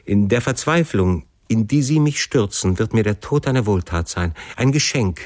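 A man's voice: deep voice, measured pace, darkish tone, dry recording, studio quality. A man voicing a passionate, desperate young son who pleads fervently and emotionally in an audiobook story.

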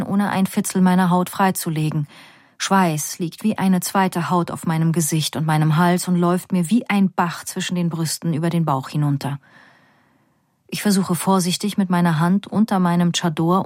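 A woman with a rather low voice reads a first-person story in a calm, measured and vivid way. The recording is dry and close, with no room sound. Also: no echo. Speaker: audiobook narrator. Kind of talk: first-person audiobook narration.

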